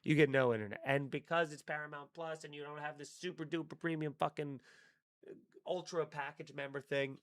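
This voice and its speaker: A man's voice: a little high, steady tone, fairly quick, dry recording. Profane rambling man, dry sound. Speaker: comedian hosting a live stream. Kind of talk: casual live-stream chat reading chat comments.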